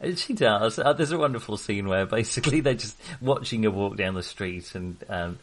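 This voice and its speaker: A man's voice: fairly low pitch, expressive, moderate pace. A man co-hosting a casual film discussion, chatty, enthusiastic and full of opinions. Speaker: film podcast co-host.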